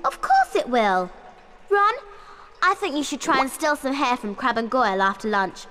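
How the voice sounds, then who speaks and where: A woman voicing a clever, bossy British schoolgirl witch in a video game, brisk and earnest. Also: high voice, very animated, a bit bright, slight background noise.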